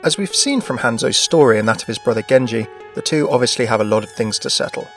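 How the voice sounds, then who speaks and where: A man's voice: mid-range voice, fast, a bit bright. A man presenting a gaming video in a scripted narration style. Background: background music.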